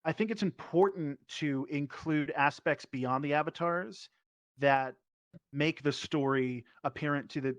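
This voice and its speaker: A man speaking casually as a performer on a panel, informal and somewhat halting. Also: on the high side, dry recording. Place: virtual reality event, voice over personal microphone. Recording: dull, lo-fi dry sound.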